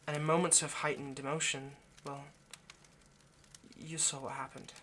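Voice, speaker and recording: A man voicing an ageless vampire lover in an intimate audio roleplay, possessive yet remorseful, speaking in a halting, earnest, pleading way. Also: fairly high pitch, little pitch movement, noisy background, close to an everyday voice. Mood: confiding. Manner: halting, earnest, pleading, dropping to near-whisper.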